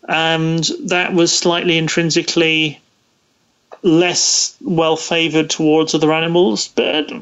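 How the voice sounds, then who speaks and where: Halting man on dull lo-fi audio. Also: a little high, clean tone. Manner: hesitant, thoughtful, halting delivery with stammered restarts. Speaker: interview guest, podcaster. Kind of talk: casual interview conversation.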